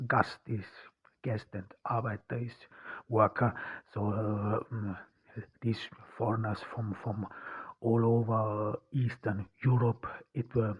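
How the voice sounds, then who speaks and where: A man from Austria talking alone on his podcast in a halting way, full of fillers and restarts. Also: on the low side, husky. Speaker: podcast host. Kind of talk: unscripted solo podcast monologue. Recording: dull lo-fi sound.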